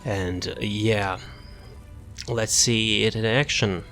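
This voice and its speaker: Low-voiced man giving casual game commentary. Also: fairly even intonation, slow pace, fairly bright tone, faint music behind. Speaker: gamer presenting a character build guide. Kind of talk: casual gameplay guide commentary.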